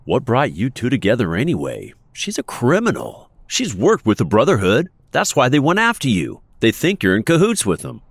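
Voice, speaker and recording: An actor playing a principled, earnest man with a husky voice in an audio drama. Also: mid-range voice, wildly swooping pitch, normal speed, hardly any pauses, no room sound, exaggerated voice. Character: principled, earnest adult male inventor, indignant yet caring.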